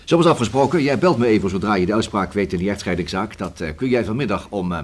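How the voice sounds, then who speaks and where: An actor in a Dutch radio play playing a persistent, dryly ironic police inspector, with a husky voice and formal, measured manner. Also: male voice, fairly low pitch, lively intonation. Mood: businesslike.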